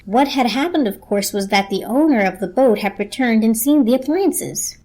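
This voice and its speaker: A woman reading scripted story narration as a narrator, in a measured, literary storytelling manner. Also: a little high, animated, warm tone.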